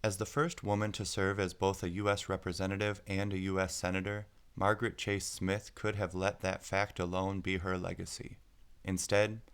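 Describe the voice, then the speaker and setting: A man narrating a picture book aloud, phrasing it clearly with deliberate pauses. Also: low voice, a bit bright. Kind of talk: scripted storybook narration.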